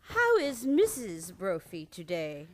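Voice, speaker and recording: An actress playing a sweet, genteel, unflappable elderly aunt in an old-time radio comedy, fond and matter-of-fact. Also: female voice, medium pitch, wildly swooping pitch, slow pace. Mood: sweet, fussing.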